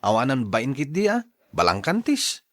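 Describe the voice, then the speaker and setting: Man acting blunt Ilocano friend, swinging pitch. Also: wildly swooping pitch, normal speed, a bit bright, dry recording, studio quality. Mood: scolding. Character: blunt, scolding male friend urging a heartbroken man onward. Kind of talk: Ilocano radio drama acting.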